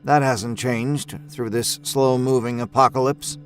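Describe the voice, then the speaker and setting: A man's voice: medium pitch, steady tone, a little slow, faint music behind. A man narrating a scripted first-person horror story in a wry, understated, dramatic way. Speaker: audio fiction narrator. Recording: narration over musical score.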